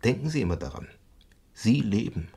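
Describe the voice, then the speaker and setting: A considerate police commissioner in a 1970s radio crime play. The voice is deep and husky. He talks in a measured, soothing, matter-of-fact way. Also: sing-song, dark tone, heavily acted. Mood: reassuring. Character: calm, considerate male police commissioner at bedside. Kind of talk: 1970s German radio crime drama acting.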